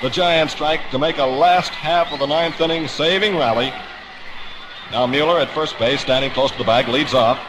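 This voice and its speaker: A man's voice: a little high, moderate pace, noisy background. A man calling baseball play-by-play in a dramatic, florid 1950s radio style, on an old broadcast recording. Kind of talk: 1950s radio sports commentary. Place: outdoor ballpark broadcast position.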